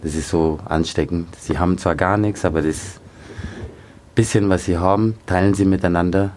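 A man from Nigeria, talking in a relaxed, halting way in an interview, with many fillers. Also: sits low. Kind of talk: casual interview.

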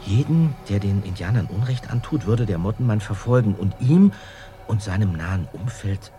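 A man reading a passage from a book aloud in a suspenseful manner, swinging between loud and hushed. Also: fairly low pitch, expressive, moderate pace. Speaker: reader.

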